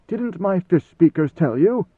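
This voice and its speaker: A man voicing an ancient, godlike ruler who speaks in a calm, knowing, lordly and deliberate way, as in an audiobook. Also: high voice, husky, voice pushed up, heavily acted. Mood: lordly, probing. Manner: measured, deliberate, formal. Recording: slightly roomy, phone-like narrow sound.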